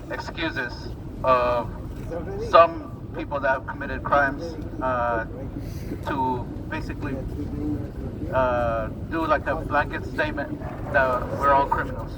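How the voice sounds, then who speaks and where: A man from Ecuador speaking haltingly and earnestly as he gives personal testimony at a rally. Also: mid-range voice. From born in Ecuador, moved as a child. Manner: hesitant, earnest. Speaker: protest speaker. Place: outdoors on a city street. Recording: distant noisy outdoor recording.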